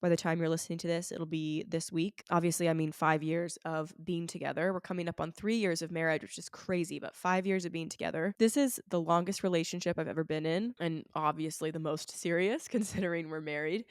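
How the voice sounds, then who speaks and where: Hoarse, sick woman chatting candidly. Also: low-pitched, steady tone, fairly quick, few pauses, no room sound, studio quality. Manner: candid, chatty, self-deprecating. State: sick, hoarse, raw throat. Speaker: podcast host. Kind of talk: casual solo podcast chat. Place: at home, not in a studio.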